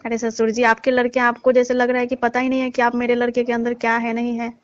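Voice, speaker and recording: Woman acting as young newlywed daughter-in-law, fairly high voice, aggrieved, insistent, complaining, phone drama, muffled lo-fi audio. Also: completely flat delivery, quick pace, no room sound, natural voice. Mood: exasperated. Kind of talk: staged phone-call drama in colloquial speech. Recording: dull, lo-fi dry call audio.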